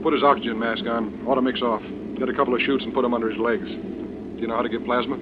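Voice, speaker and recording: Actor as brisk bomber commander on tinny old radio. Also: male voice, medium pitch, fairly even intonation, close to an everyday voice. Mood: steady concern. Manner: terse, commanding. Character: calm, decisive male bomber commander, a colonel. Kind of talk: old-time radio drama acting and narration. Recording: tinny band-limited vintage radio, engine noise.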